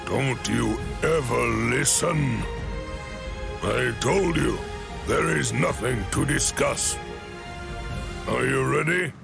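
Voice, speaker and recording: A man voicing a stern, imposing old sword master in an anime game, with commanding, formal speech and a gravelly, creaky voice. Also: mid-range voice, slow pace, pauses often. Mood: stern, impatient. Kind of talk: dramatic anime game voice acting. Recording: orchestral game music behind the voice.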